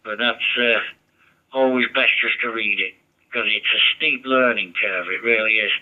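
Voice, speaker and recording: A man's voice: lowish voice, steady tone, moderate pace. A man chatting over a ham radio, rambling and giving advice. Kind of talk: casual radio chat. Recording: dull muffled radio audio.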